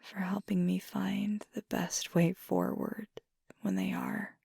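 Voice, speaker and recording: A woman voicing a fiery young werewolf girlfriend in intimate ASMR roleplay, husky and often whispery, confiding earnestly and haltingly. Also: medium pitch, little pitch movement, mellow, no room sound, clean studio sound, exaggerated voice. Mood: tender, grateful. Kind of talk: intimate ASMR roleplay, soft half-whispered close speech.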